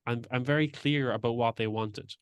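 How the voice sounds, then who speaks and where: A male podcast host with a smooth, resonant voice talks in an earnest, reflective way. His pitch sits in the middle for a man. The sound is dry and close. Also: steady tone, normal speed, no room sound. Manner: earnest, reflective, shares own stories. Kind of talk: casual interview chat.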